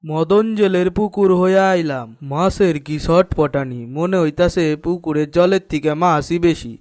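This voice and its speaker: A man's voice: on the high side, normal speed, darkish tone, natural voice. A man voicing a sly, greedy older village headman in a cartoon, speaking in an East Bengali dialect with a self-important, conspiratorial manner. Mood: sly, scheming. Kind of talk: cartoon dialogue acting.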